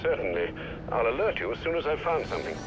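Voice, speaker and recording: A man voicing an older butler in a video game, with a mid-pitched, expressive voice. He is courteous and formal, gently scolding out of concern, with loud music behind him. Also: fast talker, natural voice, rough recording. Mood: politely attentive. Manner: formal, polite, gently chiding. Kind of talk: video game character acting.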